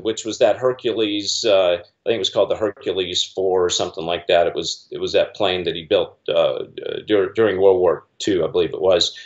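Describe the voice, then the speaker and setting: A man's voice: mid-range voice, highs cut off. A middle-aged man on a video call from a small room at home, talking in an earnest, rambling way with many fillers and restarts. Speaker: guest commentator. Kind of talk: casual video-call discussion.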